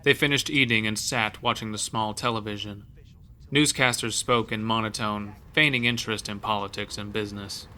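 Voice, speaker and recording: Low, forceful male horror narrator. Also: steady tone, moderate pace. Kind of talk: scripted horror story narration.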